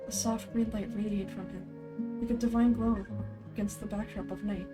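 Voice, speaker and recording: A woman's voice: medium pitch, fairly even intonation, normal speed, over loud music, natural voice. A woman voicing a solemn villager who recounts a local legend in a fantasy game, in a storytelling manner.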